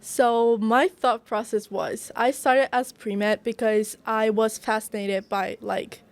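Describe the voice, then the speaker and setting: A woman's voice: fairly high pitch, steady tone, slow. A young woman, born in Brazil and raised in Japan, talks earnestly as a guest student in a casual interview, with many "like" fillers. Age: young adult. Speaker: guest, culinary arts student.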